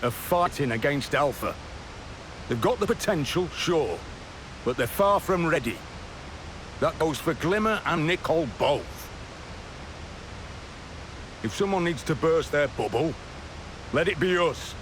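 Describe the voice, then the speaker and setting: Laid-back seasoned game warrior, slow wry delivery, hissy noise behind. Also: male voice, on the high side, lively intonation. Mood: wry, resolute. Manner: wry, unhurried, measured. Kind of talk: video game cutscene acting.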